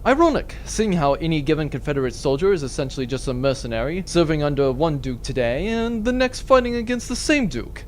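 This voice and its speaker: A man's voice: a little high, very animated, fairly quick, few pauses, slight background noise. A man playing a cocky, glib spaceship captain in a grandiose, theatrical way for a comedic sci-fi audio drama. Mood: impatient, sardonic.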